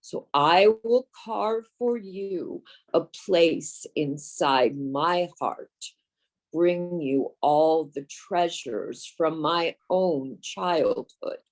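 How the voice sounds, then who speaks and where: Slow, low-pitched woman reading poetry. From United States. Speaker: poet.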